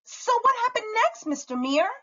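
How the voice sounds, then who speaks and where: Woman voicing an eager, bright-voiced little storybook girl. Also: extremely high pitch, sing-song, moderate pace, dull audio, voice pushed up, heavily acted. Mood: anxious, curious. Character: curious, eager little schoolgirl, earnest and brave.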